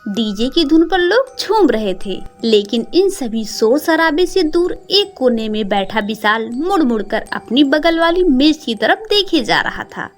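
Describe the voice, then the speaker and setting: A woman narrator tells a scripted, dramatic story. Her high voice moves expressively up and down, with soft music behind her. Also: big pitch swings, unhurried, few pauses.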